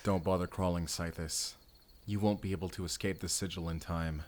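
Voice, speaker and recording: Man as low-voiced Spanish vampire slayer. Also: steady tone, moderate pace, natural voice. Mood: grim, calm. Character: young Spanish male vampire slayer, earnest, tender romantic hero. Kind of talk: cinematic audio roleplay acting.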